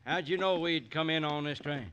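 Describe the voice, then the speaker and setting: An actor playing a laconic, folksy small-town sheriff with a drawling, grudging manner in an old-time radio western. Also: male voice, on the high side, moderate pace, lightly acted. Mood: matter-of-fact. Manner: drawling, plain-spoken, grudging. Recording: noisy vintage radio recording.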